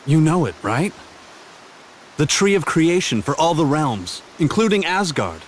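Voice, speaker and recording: An actor playing a brash, determined young warrior in a fantasy video game, with a blunt, casual, emotive way of talking. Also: male voice, a little high, big pitch swings, unhurried, a little hiss or hum, poor audio. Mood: defiant, determined. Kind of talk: video game cutscene voice acting.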